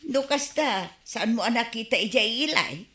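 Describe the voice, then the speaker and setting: A woman's voice: on the high side, animated, moderate pace, sharp tone. A woman playing a worried, fretful village wife in an Ilocano radio comedy drama, with an Ilocano Filipino accent. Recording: roomy, echoing studio sound.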